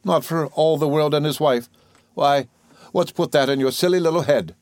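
A blunt, big-hearted servant woman from a storybook, acted out by a man. The voice is pushed up high and full of exclamation. She fusses and protests in homely, colloquial speech, indignant and devoted. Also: moderate pace, no echo, studio quality, lightly acted. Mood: devoted, fussing. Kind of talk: audiobook character voice.

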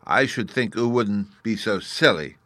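A man's voice: lowish voice, measured pace, fairly bright tone, no echo. A man voicing a tiny, earnest and proud boy fairy from a storybook, scornful at times, in childish baby talk with mispronounced words. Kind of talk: storybook character reading.

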